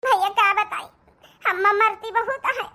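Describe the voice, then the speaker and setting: Voice actor as meek young cartoon village woman, Awadhi accent, extremely high, bright, plaintive, affectionate. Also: unhurried, exaggerated voice. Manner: plaintive, naive.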